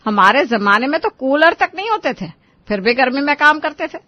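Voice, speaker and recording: A woman's voice: high-pitched, very animated, a little fast, pushed and bright, muffled recording, exaggerated voice. A woman voicing a stingy, bossy older mother-in-law who scolds and dismisses in a Hindi cartoon story. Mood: bossy, complaining.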